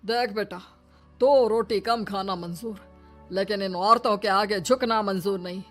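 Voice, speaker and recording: A woman's voice: mid-range voice, a little slow, faint music behind, lightly acted. A woman voicing a proud, chauvinist middle-aged father in a cartoon story, lecturing emphatically. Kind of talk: Hindi cartoon moral story.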